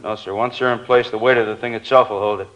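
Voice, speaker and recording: An actor playing a confident, plain-spoken stage crew boss in a 1950s radio crime drama. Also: male voice, on the low side, steady tone, fast, a bit bright, lightly acted. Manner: plain-spoken, sure of himself. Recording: muffled, hissy old radio recording.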